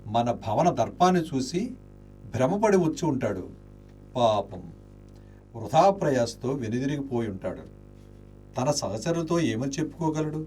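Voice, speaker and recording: Actor as melancholy noble Charudatta, widely swooping delivery. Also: male voice, medium pitch, animated, moderate pace, pauses often, mellow, soft background music, lightly acted. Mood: wry. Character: Charudatta, noble impoverished gentleman, honourable, self-pitying, melancholy. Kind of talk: Indian radio play acting.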